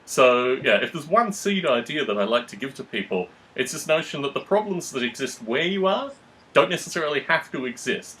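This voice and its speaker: A man's voice: medium pitch, a bit bright, rough recording. A man hosting a discussion, speaking in long, articulate, analytical explanations with formal wording. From Australia. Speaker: podcast host.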